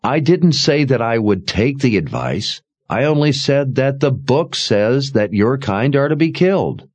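A man voicing a calm, commanding young lord in a fantasy audiobook, measured and explanatory. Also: medium pitch, breathy edge, no echo, pitched above the performer's own voice.

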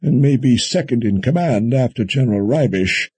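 A man voicing a wry, folksy, kindly old wizard in an audiobook, musing and teasing in a drawn-out way. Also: mid-range voice, expressive, dark tone, breathy edge, no echo, voice pushed up. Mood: measured, doubting. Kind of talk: audiobook character dialogue.

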